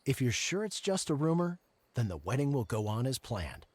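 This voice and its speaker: A man's voice: medium pitch, sing-song, moderate pace, breathy edge. An actor playing a protective middle-aged father of the bride in a fairy-tale game, firm and dramatic. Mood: reassuring. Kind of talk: fantasy game voice acting. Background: music.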